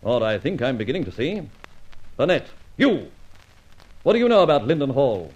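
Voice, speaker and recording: An actor playing a cool, wry gentleman adventurer in an old radio serial, speaking in a clipped, brisk and self-assured way. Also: medium pitch, big pitch swings, slightly rough, muffled recording. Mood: sly, mocking. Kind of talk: 1940s-50s radio adventure serial acting, first-person narration and dialogue.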